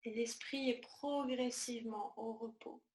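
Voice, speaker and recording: A woman teaching yoga nidra, guiding a relaxation meditation in a soothing, measured manner with short, spaced-out phrases. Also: a little high, steady tone, a little mellow, reverberant.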